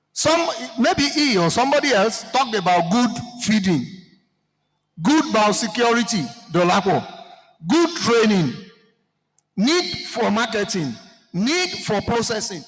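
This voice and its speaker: A Nigerian man with a Yoruba-speaking accent speaks high and unhurried, throwing rhetorical questions at the crowd. His voice rings through the long reverb of a large hall. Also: fairly bright tone. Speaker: seminar participant speaking to the floor. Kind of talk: impassioned address to a seminar audience.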